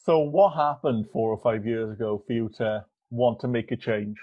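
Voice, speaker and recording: A man hosting a podcast interview, asking calm follow-up questions. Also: mid-range voice, moderate pace, no room sound, phone-quality sound. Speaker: podcast host.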